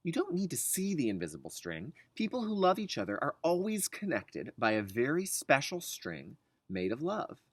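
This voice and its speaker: An adult reader voicing a calm, reassuring mother of young twins in a picture book. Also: medium pitch, moderate pace, breathy edge, dry recording. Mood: gently explaining.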